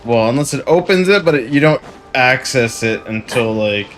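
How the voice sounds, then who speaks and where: A man who streams games, chatting casually in a halting mutter, repeating words as he thinks aloud. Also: mid-range voice, expressive. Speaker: game streamer. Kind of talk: casual gameplay chat. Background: video game music.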